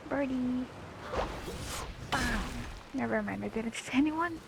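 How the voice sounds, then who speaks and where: Creaky-voiced woman reacting to a game. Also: high voice, slow, background noise. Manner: slangy, swearing, exclamatory reactions. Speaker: gamer reacting to a story quest playthrough. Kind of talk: casual reaction commentary over a video game. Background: video game music.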